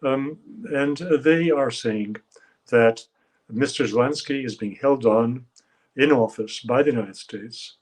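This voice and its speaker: Halting older male analyst. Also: mid-range voice, low-quality recording. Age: 70s. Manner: measured, halting, with frequent uh fillers. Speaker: political analyst guest. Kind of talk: expert commentary in an interview.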